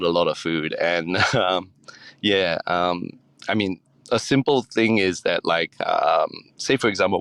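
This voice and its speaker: A man from Singapore, a chef guesting on a podcast, answering in a relaxed, hesitant way with many ums and restarts. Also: sits low, clear, resonant tone, dry recording.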